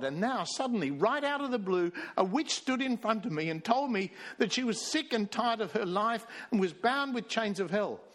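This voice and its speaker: A man's voice: high voice, a bit bright. A man from Australia, preaching a sermon as minister and telling a story, reading quoted passages aloud with emphasis. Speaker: pastor.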